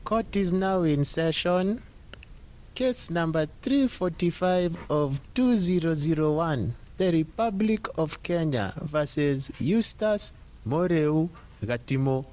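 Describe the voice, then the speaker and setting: A man's voice: on the high side, lively intonation, takes it slowly, a little mellow, voice pushed up. A man playing a matter-of-fact court clerk in an audio drama, announcing formally with a projected voice. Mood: official, matter-of-fact.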